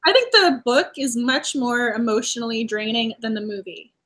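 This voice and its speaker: A woman from the Boston area hosting a casual book chat over a video call, talking quickly through thoughts with frequent laughs and restarts. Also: on the high side, expressive, fairly bright tone. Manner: chatty, laughs often, restarts while avoiding spoilers. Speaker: host.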